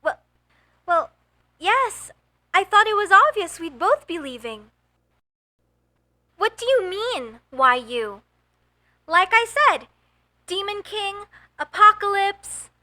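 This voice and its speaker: A woman's voice: extremely high pitch, pushed and bright, exaggerated voice. A woman voicing a young, earnest and flustered elf mage student in a comedic audio roleplay, with formal, hesitant phrasing. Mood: insistent. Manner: formal, slightly stilted phrasing with nervous hesitations.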